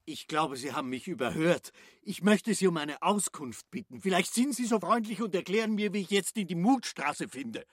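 A man's voice: sits high, expressive, normal speed, few pauses, no room sound, clean studio sound. An actor playing a courteous man who asks a stranger for directions, growing more insistent. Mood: polite. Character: polite man asking directions. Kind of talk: radio play acting.

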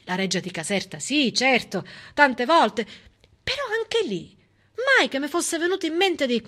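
A woman delivering a theatrical monologue in an expressive, emphatic way, with conversational asides. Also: high-pitched. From southern Italy. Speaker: stage actress performing a monologue. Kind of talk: theatrical civic monologue, storytelling.

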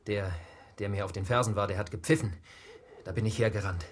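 An actor playing a bold, stubborn young petty thief in a radio drama, terse and conspiratorial. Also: male voice, on the low side, fairly even intonation, fairly quick, close to an everyday voice. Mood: breathless, recounting.

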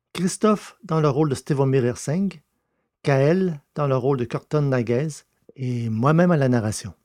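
A man's voice: mid-range voice, darkish tone, no room sound, clean studio sound. A man reading scripted narration for a science fiction audio drama.

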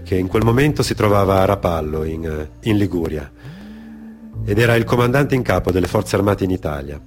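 A man narrating a dramatic monologue for radio theatre, grave and emphatic, in short deliberate phrases. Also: on the low side. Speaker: storyteller, actor.

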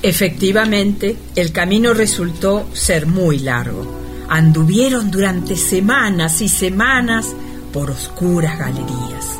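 A narrator telling a comic radio play in a measured, mock-solemn scripted style. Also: medium pitch, expressive, over music.

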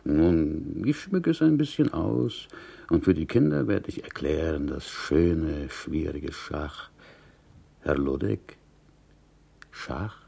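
A man playing a gentle, wistful prisoner in a radio play, a simple countryman who speaks politely and hesitantly. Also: extremely low pitch, lively intonation, takes it slowly, vocal fry, husky, heavily acted. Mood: wistful, gently teasing.

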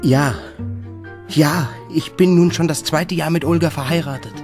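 An actor playing a brisk, sensible friend, affectionate but firm, in a German radio play. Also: male voice, a little high, steady tone, darkish tone, faint music behind, natural voice. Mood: frank, affectionate.

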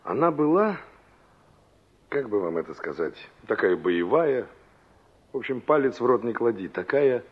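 A man's voice: medium pitch, sing-song, moderate pace, long pauses, slightly rough. A man acting a young engineer and former carpenter in a 1960s radio drama, conversational and reflective, searching for words as he reminisces. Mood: wistful, searching. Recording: dull, band-limited old radio recording.

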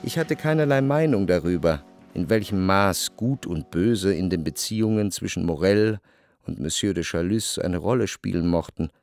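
An actor playing a reflective first-person literary narrator in a radio play, measured and introspective. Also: male voice, sits low, darkish tone, dry recording. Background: music.

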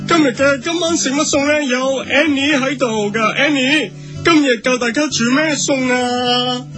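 A man voices a spry old man in his seventies hosting a parody magazine show. The voice is strikingly high and cheery, with music playing underneath. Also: measured pace.

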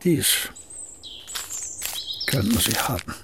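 An actor playing an aging male painter and poet in a radio play, speaking in a husky voice with a dry, reserved and curt manner. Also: on the low side, sing-song, slow, background noise. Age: older.

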